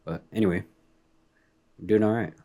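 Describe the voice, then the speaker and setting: A man co-hosting a casual sports podcast, laid-back and joking with quick quips, his voice a little hoarse from a recent illness. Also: fairly low pitch, fairly even intonation, mellow. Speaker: podcast co-host. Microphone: remote call recording.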